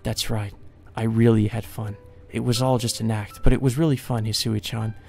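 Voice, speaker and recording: A man voicing a gentle young maid in a visual novel, speaking softly with wistful resignation. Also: lowish voice, level tone, normal speed, vocal fry. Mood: wistful, fading.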